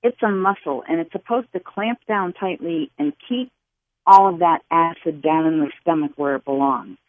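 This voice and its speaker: A woman guest expert giving health advice to callers on a call-in radio show, explaining in a steady, instructive way. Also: low voice, normal speed. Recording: phone-line sound.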